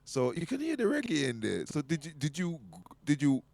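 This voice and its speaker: Man, casual show host, very varied pitch, dry close recording, halting and repetitive phrasing. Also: wildly swooping pitch, creaky, darkish tone, no echo. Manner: halting, repeats words as he phrases questions. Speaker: radio show host, interviewer. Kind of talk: casual live interview chat.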